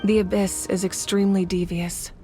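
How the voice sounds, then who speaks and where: A woman's voice: medium pitch, flat delivery, normal speed, mellow, lightly acted. A woman portrays a composed war leader in a video game story. She speaks in a mid-range voice, earnest and determined, explaining matters with assurance. Music plays behind her. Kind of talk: dramatic video game acting.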